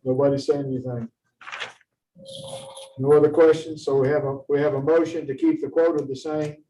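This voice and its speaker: A man's voice: a little high, level tone, moderate pace, warm tone, lo-fi sound. A man acting as chairman, running a formal meeting with procedural questions and remarks. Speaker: commission chairman. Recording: distant mic, faint noise.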